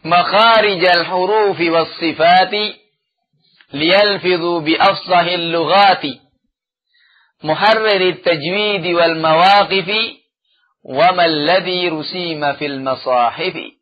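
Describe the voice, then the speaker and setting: A man teaching a religious lesson, chanting verses slowly and then explaining them with frequent "ya" fillers. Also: high-pitched, muffled recording. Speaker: Islamic teacher. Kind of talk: religious study lecture.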